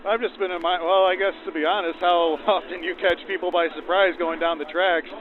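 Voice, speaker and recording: A man's voice: high voice, fairly even intonation, fairly quick. Folksy man through a thin old radio. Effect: 1940s radio filter. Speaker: railroad volunteer crew member. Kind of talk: casual interview answers. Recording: narrow phone-like vintage radio sound.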